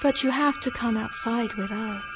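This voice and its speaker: Radio drama: serene moon spirit woman, played by an actress, high register, softly coaxing and alluring, light music underneath. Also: natural voice. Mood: gently coaxing. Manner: gentle, coaxing, unhurried. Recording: music behind the voice.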